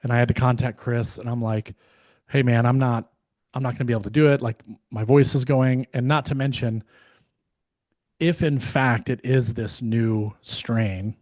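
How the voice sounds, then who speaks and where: Guy with a hoarse voice that's going, rambling on a podcast, lots of ums, middle pitch, dry sound. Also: steady tone, normal speed, a little mellow, dry recording. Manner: rambling storytelling with many fillers and restarts. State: hoarse, losing his voice. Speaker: podcast co-host. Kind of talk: casual chat.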